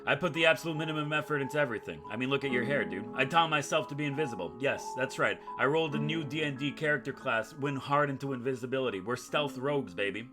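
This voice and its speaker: A man's voice: medium pitch, quick pace, hardly any pauses. A man doing let's play commentary, reading game text aloud and breaking in with sarcastic, self-mocking asides. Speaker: gaming YouTuber, host of a playthrough. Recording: guitar music behind the voice. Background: acoustic guitar game music.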